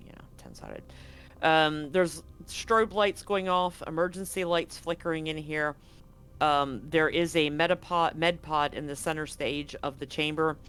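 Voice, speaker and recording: A man narrating as game master, describing scenes in a halting way with frequent ums and restarts. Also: a little high, fairly bright tone.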